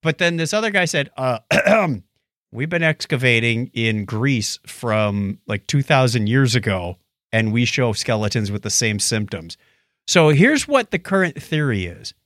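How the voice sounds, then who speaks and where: A man's voice: mid-range voice, very animated, measured pace, fairly bright tone, no echo. Man casually telling stories, close dry sound. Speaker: podcast co-host. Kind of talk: casual chat, recounting stories.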